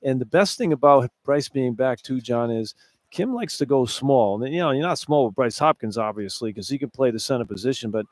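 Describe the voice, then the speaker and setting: A man's voice: mid-range voice, expressive, brisk, a little mellow. A man works as a color analyst on a sports radio broadcast. He talks conversationally in a mid-range voice, circling back over points to stress them, with a dry, echo-free sound.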